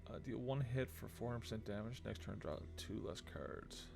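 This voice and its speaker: A man's voice: fairly low pitch, normal speed, creaky. A man casually commentating on his gameplay, muttering and thinking aloud half to himself. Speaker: video game streamer. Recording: loud game music behind. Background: loud video game music.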